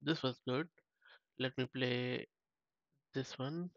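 A man's voice: medium pitch, fairly even intonation, slow, dry recording, dull audio. A man hosting a tech tutorial, thinking aloud in a casual walkthrough with frequent restarts. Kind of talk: casual screen-share walkthrough.

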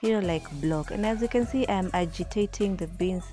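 Low-voiced woman vlogging in her kitchen. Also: a little fast, mellow, soft background music. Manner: chatty, many fillers and restarts. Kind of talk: casual voice-over narration. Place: home kitchen.

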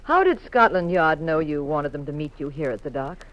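An actress playing a curious, jealous detective's wife in a 1950s radio mystery, with an arch, dry delivery. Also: female voice, deep voice, animated, moderate pace, dry recording, lo-fi sound. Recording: noisy vintage radio recording.

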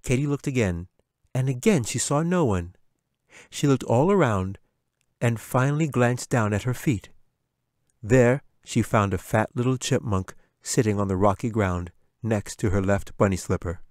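Guy reading a fantasy audiobook, animated storytelling, middle pitch, no room echo. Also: normal speed, studio quality. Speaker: author narrating own audiobook. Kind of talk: scripted fantasy storytelling narration.

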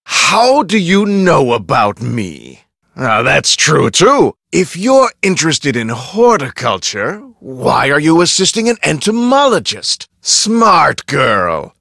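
A self-important, irascible facility boss in a video game. His voice is bright and forceful with gravelly creak, and it swings widely in pitch. He talks in a pompous, exacting, clipped way. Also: very animated, slow pace, no room sound, studio quality, exaggerated voice. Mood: softened, condescending. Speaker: head of a research facility. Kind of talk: video game character acting.

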